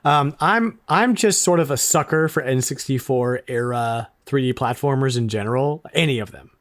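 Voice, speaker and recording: A man co-hosting a retro gaming podcast in casual chat, enthusiastic and quick to laugh, often repeating words. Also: medium pitch, no room sound. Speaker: podcast co-host.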